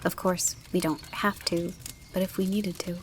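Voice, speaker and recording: A woman plays a stately refugee seamstress of about fifty in an audio drama. She is composed and matter-of-fact, every phrase measured, with a faint bed of noise behind her. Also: fairly low pitch, flat delivery, lightly acted. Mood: calm, assured. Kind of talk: amateur audio drama acting.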